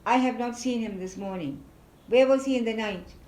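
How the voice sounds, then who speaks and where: A woman voicing a devoted young queen who speaks in a high, urgent, tremulous plea. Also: lively intonation, normal speed, darkish tone. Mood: anxious pleading. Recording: echoey room, faint background noise.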